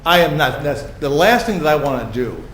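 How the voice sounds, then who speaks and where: A man's voice: on the high side, lively intonation, a little fast, fairly bright tone. A man speaking at public comment in a village board meeting, emphatic and heartfelt, leaning on certain words and repeating himself. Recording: echoey meeting room, faint hiss.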